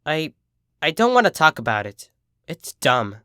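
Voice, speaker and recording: A dejected young cartoon pegasus filly acted out by a story narrator speaks in a hesitant, defensive mumble. The pitch moves around a lot, and the sound is dry and close. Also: sing-song, measured pace, pushed and bright, dry recording. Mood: dejected, evasive.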